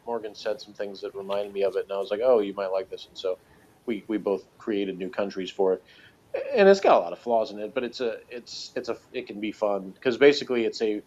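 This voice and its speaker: A man chatting casually as a review co-host, talking in a wordy, halting, rambling way. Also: lowish voice, a little mellow, slight background noise. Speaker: podcast co-reviewer. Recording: dull, lo-fi sound.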